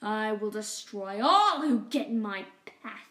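A woman's voice: medium pitch, lively intonation, bright, forceful tone. A woman voicing a cruel, sneering rat king. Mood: menacing. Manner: sneering, drawn-out menacing speech. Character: scheming male opossum rat king, boastful, cruel. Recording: echoey room, mic at a distance.

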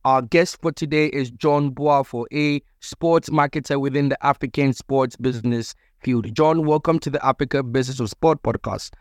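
A man's voice: medium pitch, normal speed, few pauses, dry recording, clean studio sound. A man hosting a sports business podcast, introducing his guest and asking questions in an upbeat, formal way. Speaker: podcast host.

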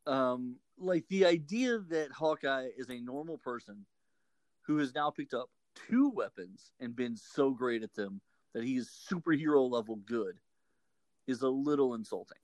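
Texan man, casual co-host, argumentative joker, dry close mic, middling pitch. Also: expressive, darkish tone, no echo, low-quality recording. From Texas. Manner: joking, argumentative, quick to correct. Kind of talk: casual chat.

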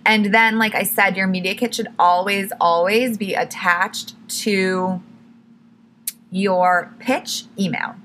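A young woman hosting her own podcast, talking casually and correcting herself often, a little hoarse as she starts to lose her voice. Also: mid-range voice, steady tone, slow, fairly bright tone, slight background noise. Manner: chatty, self-correcting, says "like" and "literally" a lot. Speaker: podcast host. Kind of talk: casual solo podcast tips.

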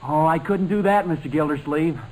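An actor playing a polite, eager young boyfriend in 1940s radio comedy. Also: male voice, fairly high pitch, fairly quick, darkish tone, lightly acted, low-quality recording. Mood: bashful. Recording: old-time radio recording.

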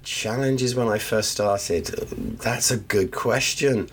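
A man's voice: on the low side, takes it slowly, a bit bright, slight background noise. A man who is a photographer, congested from the flu, explaining his ideas thoughtfully on a podcast from his studio over the line. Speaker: guest photographer. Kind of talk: podcast interview. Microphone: phone line.

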